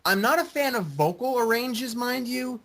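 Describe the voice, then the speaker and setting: Animated man explaining in casual chat. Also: extremely high pitch, moderate pace. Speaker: group member.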